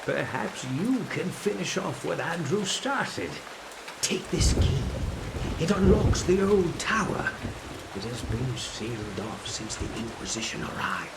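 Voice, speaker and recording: An actor playing a grave, secretive monk in a video game cutscene, speaking in a theatrical, measured, archaic manner. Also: male voice, a little high, very animated, gravelly, noisy background, heavily acted. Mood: solemn urging. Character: secretive monk, grave, ominous, conspiratorial male ally.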